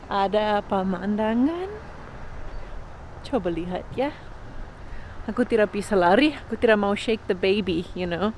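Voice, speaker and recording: A woman's voice: medium pitch, normal speed, pauses often, darkish tone. A pregnant young woman vlogging a road trip, chatting playfully and mixing with Indonesian, filmed at arm's length outdoors and in a car. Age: young adult. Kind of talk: casual travel vlog. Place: outdoors at a roadside rest area and in a car. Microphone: handheld camera at arm's length. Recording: noisy outdoor phone-camera audio.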